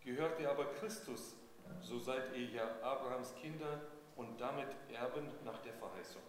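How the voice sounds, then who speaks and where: A man's voice: mid-range voice, normal speed. A man interpreting a sermon live, phrase by phrase, as a preacher's consecutive interpreter. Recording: noisy echoey hall with long reverb.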